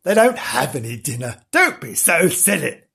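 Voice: medium pitch, sing-song, normal speed, vocal fry, a bit bright, husky, heavily acted. A performer voicing a bossy, sensible dog from a children's storybook, scolding and matter-of-fact in exasperation. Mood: exasperated.

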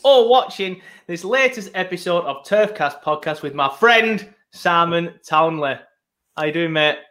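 A man's voice: high-pitched, expressive, unhurried, sharp tone. A man hosting a casual football podcast, chatty and rambling with lots of ums, recorded at his laptop. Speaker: podcast host. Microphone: laptop setup, video podcast.

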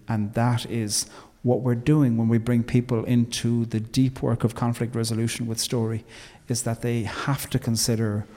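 An Irish man speaking as an interview guest, measured and thoughtful, with emphatic turns. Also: mid-range voice, dark tone, long reverb tail. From Ireland. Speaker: guest, theologian and poet. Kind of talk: reflective interview conversation.

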